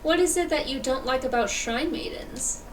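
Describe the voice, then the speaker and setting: A woman's voice: high voice, normal speed, far from the mic, over music. A woman livestreaming a video game with casual commentary, reading dialogue choices aloud.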